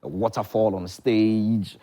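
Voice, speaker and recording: A man's voice: lowish voice, fairly even intonation, slow pace, dark tone. A man with a Ghanaian accent talking as a theatre director in a promotional interview, emphatic and repeating phrases for effect. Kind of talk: lively promotional interview chat.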